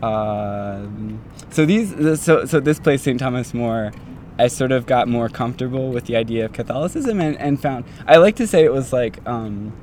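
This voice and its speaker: A man's voice: mid-range voice, moderate pace, darkish tone, a little hiss or hum. A young man in his twenties, a doctoral student, telling his story in a casual, rambling way full of fillers like "like" and "um". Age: 20s.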